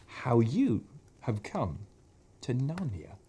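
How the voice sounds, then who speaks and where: A man's voice: medium pitch, huge pitch swings, slow pace, warm tone, husky, exaggerated voice. A man voicing a timid, polite male faun who stammers and repeats his words anxiously. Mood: courteous.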